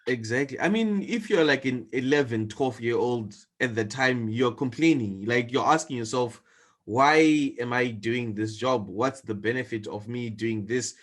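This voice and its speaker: A man's voice: mid-range voice, unhurried. A young man from Zimbabwe in his twenties, a guest telling his story earnestly in an interview over a video call. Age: 20s. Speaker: guest entrepreneur. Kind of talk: interview answers.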